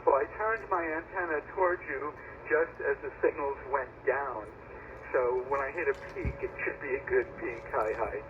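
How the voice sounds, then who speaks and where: A man's voice: mid-range voice, moderate pace. A man with an American accent speaking casually and matter-of-factly as a ham operator over a radio transmission. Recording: noisy, narrow-band shortwave radio signal.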